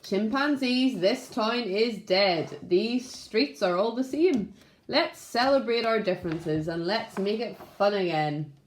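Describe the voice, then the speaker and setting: A woman's voice: mid-range voice, takes it slowly, lightly acted. A woman voicing a hopeful, rousing male chimpanzee traveller from a children's storybook, earnest and speech-making, in a roomy space. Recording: roomy, echoing room sound.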